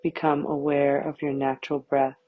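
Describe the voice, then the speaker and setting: A woman guiding a yoga nidra meditation with calm, soothing, measured scripted instructions. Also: deep voice, narrow, tinny audio.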